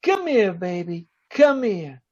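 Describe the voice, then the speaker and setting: An actor playing a vengeful, taunting crook in slangy 1940s radio style. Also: male voice, high voice, big pitch swings, slow, full character voice. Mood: softly menacing. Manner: slangy gangster talk, taunting. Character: menacing, double-crossed male crook, coldly vengeful. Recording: dull, lo-fi video-call audio.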